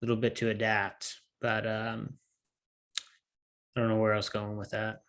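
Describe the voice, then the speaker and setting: A man's voice: lowish voice, monotone, a little slow, fairly bright tone. Rambling young man, casual podcast host. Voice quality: a bit nasal. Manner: rambling, loses his train of thought. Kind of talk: casual chat.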